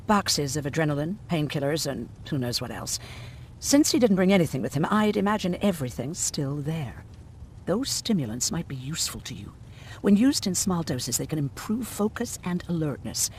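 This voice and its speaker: An actor playing a blunt, sardonic, weary survivor of a machine war in a video game. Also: male voice, on the high side, a little hiss or hum. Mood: guarded.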